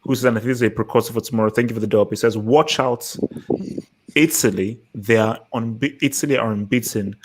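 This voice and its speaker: Male host of a chatty football call, mid-pitched, repeats himself, keeps the group on track. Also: fairly quick, a little hiss or hum. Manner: loose, repeats words, herds the others. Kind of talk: casual livestream hangout chat.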